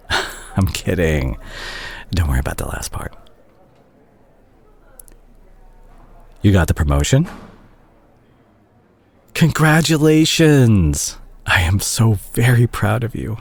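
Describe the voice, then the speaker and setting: A very low, breathy male voice of an actor plays a doctor still tender toward an ex. He is gentle and self-conscious, hesitating as he speaks straight to the listener in an intimate audio drama, with awkward humor over his remorse. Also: very animated, slow, long pauses, full character voice. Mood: lightly teasing, warm. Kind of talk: intimate scripted audio roleplay, addressed to listener.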